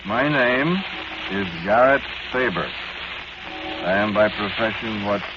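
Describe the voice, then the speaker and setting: An actor playing a doomed, genteel scientist who drinks and gambles, speaking formally and deliberately with wry asides in an old radio horror monologue. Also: male voice, fairly low pitch, over music. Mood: wry, resigned. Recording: dull, very noisy, crackling old radio recording.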